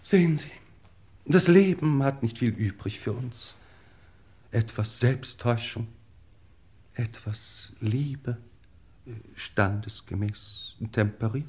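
An actor playing a genteel, middle-aged lord in a 1950s German radio play, formal, ornate and deliberate in his phrasing. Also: mid-range voice. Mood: reflective, grand. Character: genteel, world-weary English lord, wryly self-justifying.

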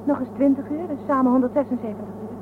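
A blunt, sharp-tongued woman astronaut in a radio play, played by an actress. Her voice sits high and comes through a narrow, phone-like band with clear hiss under it. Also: fast, lightly acted. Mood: reporting. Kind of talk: radio drama acting. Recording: muffled phone-like sound with loud hiss.

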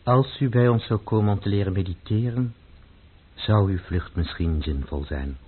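An actor playing a stern, devout, grave poet in a radio play, speaking in a measured, formal way. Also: male voice, on the low side, husky. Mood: grave, earnest. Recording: muffled, phone-like old radio recording.

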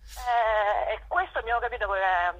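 A woman's voice: a little high, normal speed, fairly bright tone, a little hiss or hum. A woman giving a spontaneous radio interview as a performer and show organiser, often searching for words. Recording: dull, phone-like radio call.

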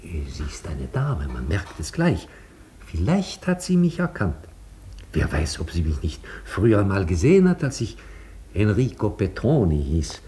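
A low-pitched, genteel old man in a radio play. He was once an opera singer and still carries himself with vanity. His speech is formal, courtly and theatrical. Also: huge pitch swings, unhurried, warm tone, a little hiss or hum, heavily acted. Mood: courtly, wistful. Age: older. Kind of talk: German radio play acting.

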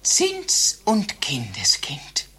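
An actress playing a chatty elderly widow, husky and gossipy, telling exaggerated tales in a satirical radio play. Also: female voice, low voice, huge pitch swings, very slow, in a whisper, full character voice. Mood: proudly reminiscing.

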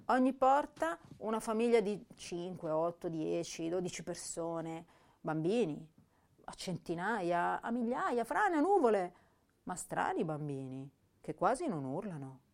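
A woman playing a young, intense, melancholy female poet, reciting literary verse and prose in a measured, solemn way with pauses between phrases. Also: fairly low pitch, a little mellow. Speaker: actress reciting poetry and prose.

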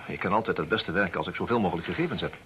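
An actor playing a self-assured, urbane private detective in a radio play, with a teasing, wry manner. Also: male voice, deep voice, fairly bright tone. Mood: businesslike. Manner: urbane, teasing, hesitant little pauses. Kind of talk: Dutch radio play acting. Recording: muffled, faintly noisy old radio recording.

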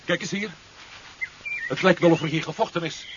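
A man's voice: on the high side, moderate pace, close to an everyday voice. A man playing a hard-nosed, commanding adventurer in a 1970s radio drama, urgent in manner. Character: decisive, hard-nosed male adventurer hero. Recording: dull, noisy 1970s radio recording.

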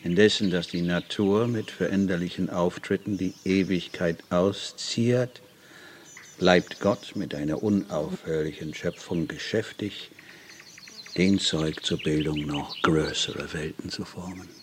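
An actor playing a learned English gentleman in a radio play, speaking German with a light English accent. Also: deep voice, a little slow, slight background noise. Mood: reflective.

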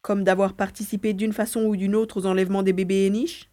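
A woman's voice: mid-range voice, little pitch movement, fast, mellow, echoey room, close to an everyday voice. A woman playing a determined young boy hero in an audio drama, thinking aloud as he acts. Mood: worried, puzzled. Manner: thinks aloud, narrating own actions.

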